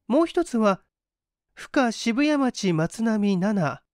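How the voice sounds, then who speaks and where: A woman's voice: on the high side, very animated, very slow, a little mellow, dry recording, clean studio sound, heavily acted. A woman voicing a polite, principled, hungry young woman with emphatic, old-fashioned feminine speech in a dramatised story reading. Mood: polite, questioning.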